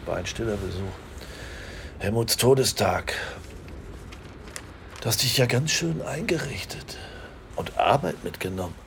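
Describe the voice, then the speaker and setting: Creaky-voiced, genial police colleague. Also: male voice, fairly low pitch, expressive, unhurried, long pauses, slight background noise. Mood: familiar, probing. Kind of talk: radio play acting.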